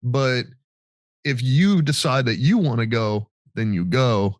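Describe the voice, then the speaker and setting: A man's voice: mid-range voice, animated, takes it slowly, no room sound, studio quality. An American man hosting a veterans' podcast in casual, sweary, storytelling chat. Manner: informal, sweary. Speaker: podcast host, military veteran.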